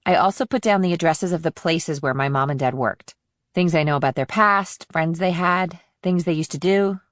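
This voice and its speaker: Woman playing a sarcastic, streetwise teenage girl, low-pitched. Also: normal speed, no room sound, lightly acted. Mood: matter-of-fact. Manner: flippant, sarcastic. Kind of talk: audiobook character dialogue.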